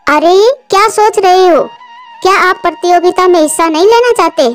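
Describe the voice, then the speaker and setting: A woman voicing excitable, chatty female birds in a children's cartoon, with a dramatic manner. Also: very high voice, moderate pace. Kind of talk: Hindi children's cartoon dialogue. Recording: music behind the voice.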